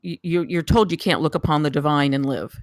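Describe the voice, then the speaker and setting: Medium pitch, dry close sound, halting delivery, repeated words, casual critique. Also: no room sound. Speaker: table read participant giving script feedback.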